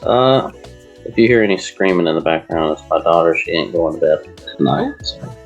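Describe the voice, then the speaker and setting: A man giving casual game analysis as a panelist, informal and somewhat halting in his phrasing. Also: sits low, animated, lo-fi sound, faint music behind. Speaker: sports show panelist.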